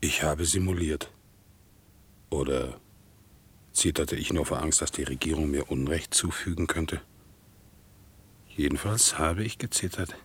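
Casting: simple, fervently obedient crippled soldier, radio play, stammering and servile, husky voice. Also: male voice, a bass voice, a little slow, long pauses, full character voice. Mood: brooding, bewildered. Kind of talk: 1960s German radio drama acting.

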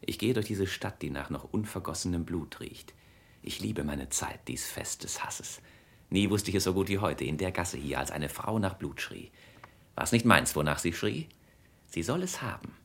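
Deep-voiced cynical young chevalier. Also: male voice, animated, moderate pace, gravelly, a bit bright, heavily acted. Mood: passionate. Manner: suave, insinuating, theatrical. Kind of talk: classic German radio play acting.

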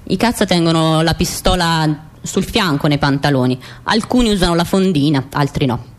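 A woman's voice: sits low, moderate pace, lightly acted. A woman played by an actress plays a cold squad operative. She recites in a precise, matter-of-fact radio-drama manner, as methodical as a manual. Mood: cold, instructive. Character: cold, methodical female agent of a killing squad.

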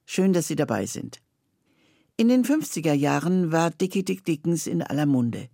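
An older woman presenting a scripted introduction with a personal anecdote, husky and creaky in voice. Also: low voice, very animated, normal speed, mellow, dry recording, studio quality.

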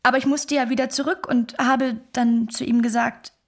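Chinese wife, earnest, ambitious, higher-pitched voice, plain recollection, radio play monologue. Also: female voice, fairly even intonation, moderate pace. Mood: earnest, reflective.

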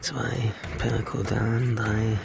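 Gravelly, slow, muttering wounded criminal. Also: male voice, on the low side, level tone, heavily acted. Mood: strained, muttering. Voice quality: gravelly, whispery. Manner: halting, muttered self-talk. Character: wounded criminal man muttering himself through pain, grimly determined. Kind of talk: radio drama acting. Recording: music behind the voice.

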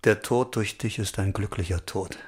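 Very husky, weak dying man. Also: fairly low pitch, normal speed. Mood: weakly pleading. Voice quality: very hoarse, breathy. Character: gentle dying man pleading with his lover. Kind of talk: radio drama acting.

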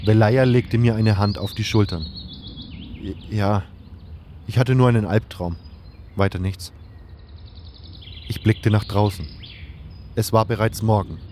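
A man acts out a thoughtful adventurer hero in a fantasy audio drama. His voice sits fairly low and he tells his story in a measured, earnest way, moving between narration and dialogue. Faint noise and birdsong lie behind him. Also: steady tone, darkish tone, lightly acted. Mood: calm, reflective. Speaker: narrator and lead character of a fan audio drama.

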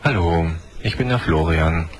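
Young male, very low voice, stiff playful recital, outdoors, faint noise behind. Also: measured pace. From Berlin. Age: 20s. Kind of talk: self-made dating video. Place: outdoor rose garden.

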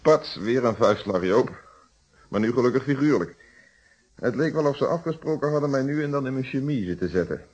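An actor playing a calm, probing, wry male police inspector in a radio detective play, speaking Netherlands Dutch with polite, measured phrasing. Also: mid-range voice, breathy edge. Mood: wry, explanatory. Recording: dull, muffled radio drama recording.

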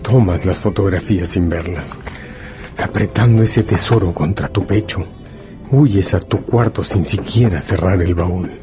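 A man delivering dramatic scripted narration in deliberate, measured phrases. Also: low-pitched. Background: music.

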